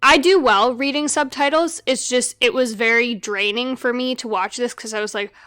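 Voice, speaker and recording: A woman's voice: fairly high pitch, normal speed, a bit bright, clear, resonant tone, no echo, clean studio sound. A woman co-hosting a casual film podcast, thinking aloud and trailing off as she works out her views. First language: not Portuguese. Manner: reflective, thinks aloud with restarts. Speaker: podcast co-host.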